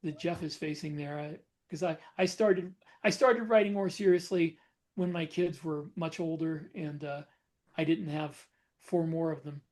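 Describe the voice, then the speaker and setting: Middle-aged man co-host, relaxed and rambling, casual chat. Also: on the high side, normal speed. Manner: relaxed, rambling, self-correcting. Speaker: podcast co-host, author.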